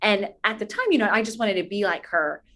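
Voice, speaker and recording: A woman's voice: medium pitch, fairly quick, sharp tone. A woman in her twenties talking earnestly and at length as an interview guest, in a conversational, anecdotal style. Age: 20s. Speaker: interview guest, pageant titleholder.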